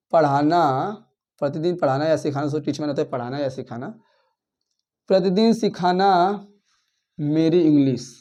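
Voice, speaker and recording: A man teaches a grammar lesson. His voice sits high, but its tone is soft and mellow. He keeps calling his listeners friends, asking questions and answering them, and repeating his points as he goes. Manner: counts words aloud, repeats and rephrases points. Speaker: school English teacher.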